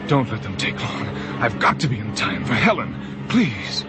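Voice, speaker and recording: An actor playing a guilt-ridden, anxious husband telling his story in a halting, overwrought old-time radio drama style. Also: medium pitch, big pitch swings, lightly acted. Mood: anguished, pleading. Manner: halting, stammering, overwrought delivery. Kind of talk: old-time radio drama acting, first-person confessional narration. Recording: old radio broadcast with music bed.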